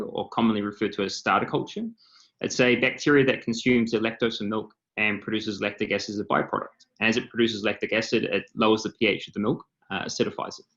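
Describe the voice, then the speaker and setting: Kiwi-accented founder, explanatory panel talk, slightly dull call audio. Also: male voice, medium pitch, a bit bright. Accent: New Zealand. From New Zealand. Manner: explanatory, lots of filler ums and uhs. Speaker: company co-founder and CEO, panelist. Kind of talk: presentation on a video call panel. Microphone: computer mic on a video call.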